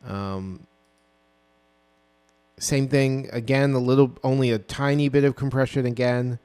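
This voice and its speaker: Low-voiced man, slow and smooth. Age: 20s. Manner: relaxed, rambling, full of ums and self-corrections. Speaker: music producer. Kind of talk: casual walkthrough of a song mix. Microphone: dynamic broadcast mic.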